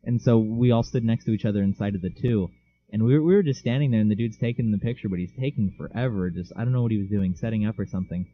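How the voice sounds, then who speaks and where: A man's voice: lowish voice, fast talker, muffled recording. A teenage boy co-hosting a casual podcast, joking and teasing his friend while telling stories. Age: teens. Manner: joking, teasing, tells stories with big laughs. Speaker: podcast co-host. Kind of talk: casual chat.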